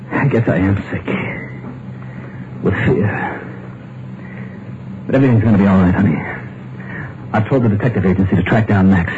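An actor playing a frightened husband who believes a hired killer is after him, in a 1950s radio drama, talking in a feverish inner monologue. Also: male voice, lowish voice, lightly acted. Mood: anxious, soothing. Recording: dull, hissy old radio broadcast with music.

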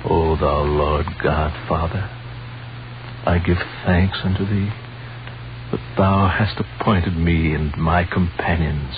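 Deep-voiced devout wise man. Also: steady tone, slow. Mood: prophetic. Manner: solemn, prophetic, archaic biblical declamation. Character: devout Greek wise man, leader of travelling magi. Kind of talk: 1930s radio drama acting. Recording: muffled, noisy 1930s radio recording.

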